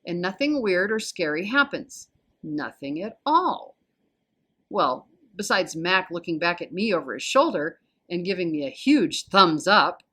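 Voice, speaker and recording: A woman with an American accent reading a children's novel aloud as an expressive storyteller. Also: lowish voice, measured pace, husky. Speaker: volunteer storybook reader.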